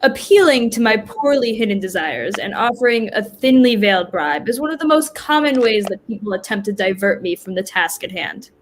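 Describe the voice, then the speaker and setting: A woman playing Death as a formal, dutiful, stage-struck figure in a comic play reading, with a measured, literal way of talking. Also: medium pitch, lively intonation, lightly acted. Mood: politely skeptical. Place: remote virtual reading. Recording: noisy online video call audio.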